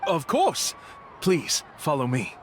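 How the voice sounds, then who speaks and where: An actor playing an eager, smooth-talking tour guide and con man in a video game, florid and theatrically polite. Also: on the high side, huge pitch swings, takes it slowly, noisy background, heavily acted. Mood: obliging, flattering. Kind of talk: animated video game acting. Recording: game music behind the voice.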